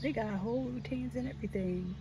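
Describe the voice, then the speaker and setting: An American woman in her thirties chatting casually and reflectively in an earnest, halting way, as a vlogger in a car. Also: fairly high pitch. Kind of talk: casual, reflective chit chat. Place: inside a parked car. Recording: distant mic, clear background noise.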